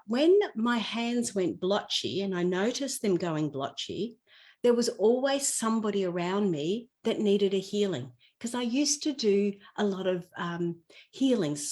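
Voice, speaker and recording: A woman speaks at an average pitch for a female voice. She explains in a conversational teaching style, as a guest on a podcast would. She illustrates her points with personal stories and everyday examples. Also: darkish tone.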